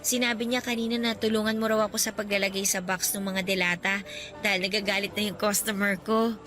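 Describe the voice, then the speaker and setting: A woman's voice: medium pitch, level tone, brisk, fairly bright tone, soft background music, close to an everyday voice. A woman playing a shy, insecure young co-worker, hesitant and lightly teasing, in radio drama dialogue. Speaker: drama voice actor.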